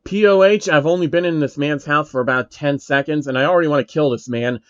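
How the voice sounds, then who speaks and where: A grumpy masked male gang member who makes blunt, flat remarks, voiced by a man reading. His voice comes through a dry, dull recording. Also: a little high, fast talker, smooth, no echo, lightly acted.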